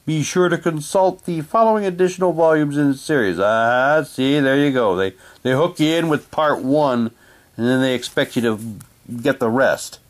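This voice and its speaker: A man chatting casually while reading documents aloud, haltingly, with muttered asides to himself. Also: on the high side. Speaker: reviewer unboxing an audio drama's props.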